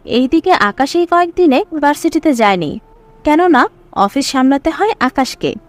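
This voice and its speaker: A woman's voice: high-pitched, big pitch swings, moderate pace. A woman reading scripted narration for a serial story.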